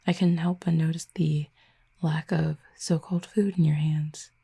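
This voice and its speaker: Woman acting a low, breathy, reserved cupid. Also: little pitch movement, heavily acted. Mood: dryly teasing. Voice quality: soft, breathy, hushed. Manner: measured, formal, softly teasing. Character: calm, reserved cupid, formal and quietly fond. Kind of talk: intimate audio roleplay to the listener.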